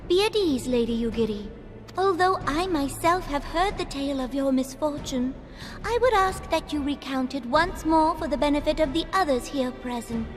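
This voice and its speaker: A woman's voice: high-pitched, expressive, normal speed, in a large hall, faint music behind. A woman voicing a young, regal girl ruler who speaks formally and graciously.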